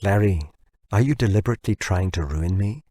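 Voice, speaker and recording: A man's voice: fairly low pitch, fairly quick, warm tone, no room sound, studio quality, lightly acted. Man acting an agitated, pressing company boss. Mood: pleading, urgent. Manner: urgent, pressing, staccato. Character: agitated, wheedling male company founder.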